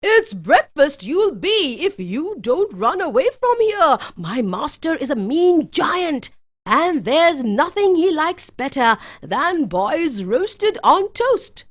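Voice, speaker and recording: A woman voicing a great big tall servant woman in a storybook tale, kindly but fussing, with a brisk, exclamatory way of warning. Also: high voice, sing-song, full character voice. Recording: music behind the voice.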